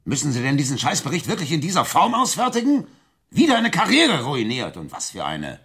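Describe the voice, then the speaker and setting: Brash, husky intelligence officer. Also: male voice, a little high, wildly swooping pitch, a little fast, fairly bright tone, full character voice. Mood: irritated. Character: brash, cynical male American intelligence officer. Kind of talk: radio drama acting.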